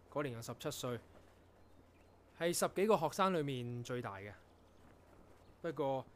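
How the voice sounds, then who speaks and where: A man acting in a Cantonese radio drama as an earnest, proud young composer, telling things in a reflective, measured way. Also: mid-range voice, slight background noise, natural voice. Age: young adult.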